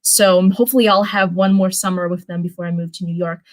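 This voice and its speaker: A woman's voice: fairly low pitch, fairly even intonation, quick pace. Young woman reading and chatting casually. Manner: rambling, informal, frequent self-corrections. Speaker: livestream host reading fan fiction. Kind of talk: casual livestream chat and story read-aloud.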